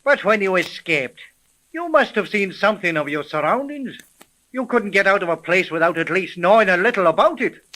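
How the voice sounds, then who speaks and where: An actor playing a gruff, suspicious police sergeant in a 1930s radio mystery, bullying and blunt in his questions. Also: high voice, lively intonation, moderate pace, bright, forceful tone, poor audio. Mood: probing.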